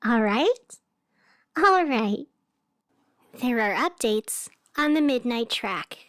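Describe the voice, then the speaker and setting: An actress playing an anxious radio host in a scripted fictional broadcast, deliberate and emphatic with strained cheerfulness. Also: female voice, a little high, animated, fairly bright tone, no room sound, clean studio sound. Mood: nervous denial. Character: anxious woman radio host insisting everything is fine.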